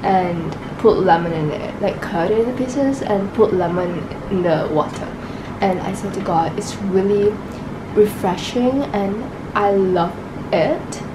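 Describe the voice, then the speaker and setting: A woman's voice: mid-range voice, unhurried, background noise. A sick young woman with a Malaysian English accent, vlogging to camera from her bedroom while coughing and losing her voice, mixing Malay words into her English. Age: young adult. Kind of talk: casual vlog chat to camera. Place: bedroom at home, indoors. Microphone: camera mic, camera held close or on a tripod.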